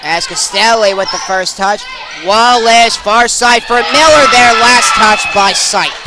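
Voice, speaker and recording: A young man calling live volleyball play-by-play, excitable and projecting over the action. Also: very high voice, a little slow. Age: young adult. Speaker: play-by-play sports announcer. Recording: crowd and gym noise behind.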